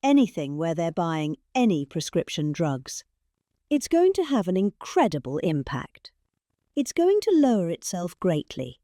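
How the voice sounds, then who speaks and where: A middle-aged woman with a British accent reading a political speech in a calm, measured way, with long pauses and heavy emphasis. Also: fairly low pitch, no room sound, studio quality. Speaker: reader.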